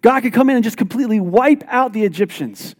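A man preaching a sermon as pastor, reading scripture aloud and explaining it in an animated, emphatic way. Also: very high voice, fast talker. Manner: animated, self-deprecating, emphatic. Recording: long hall reverb.

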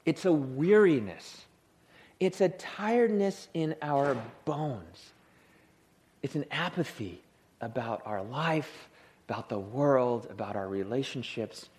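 Lively, soothing-voiced male preacher. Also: medium pitch, slow pace. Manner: lively, humorous, acts out tired people. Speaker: pastor. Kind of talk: sermon. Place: church.

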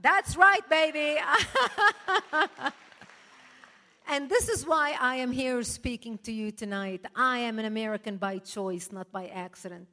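High, bright woman speaking in an echoey hall. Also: slow, long pauses. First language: not English. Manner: forceful, emphatic, punctuated with laughs. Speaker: speaker at a political rally. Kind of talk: impassioned public speech. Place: large hall before a live audience. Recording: echoey hall, long reverb.

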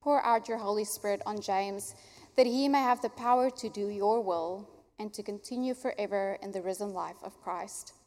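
A young adult woman pastor from Africa, an Afrikaans speaker, leading a baptism prayer in an earnest, warm way. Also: medium pitch, moderate pace. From South Africa. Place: church sanctuary. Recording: long church hall reverb.

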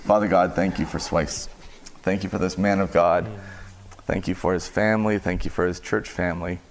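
A man's voice: low voice, fairly even intonation, normal speed, slight background noise. A man who is the host pastor, introducing a guest and praying over him in an earnest, repetitive way. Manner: earnest, repetitive prayer phrasing. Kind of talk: congregational prayer.

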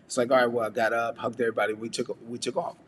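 A man's voice: mid-range voice, level tone, very fast, in a large hall, slight background noise. A man from the United States giving a casual devotional message, talking conversationally with frequent fillers and rhetorical questions. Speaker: preacher.

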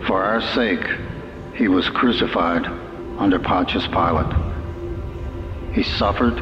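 A very deep male voice recites a creed slowly and steadily in church. The sound is roomy and a little dull, and loud music plays behind him. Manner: slow, measured recitation.

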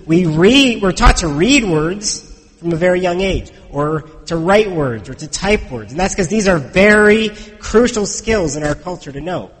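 A pastor preaching a conversational sermon in a church, hoarse and congested from a head cold, often asking the congregation questions. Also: male voice, high-pitched, big-room sound, low-quality recording.

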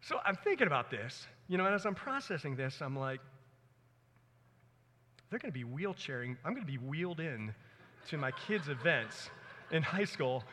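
A man's voice: on the high side, sing-song, moderate pace, long pauses, pushed and bright, a little hiss or hum. A man in his forties preaching a sermon in an earnest, conversational way, with personal stories. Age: 40s. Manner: earnest, conversational, dramatizes his own thoughts. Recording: echoey hall with long reverb.

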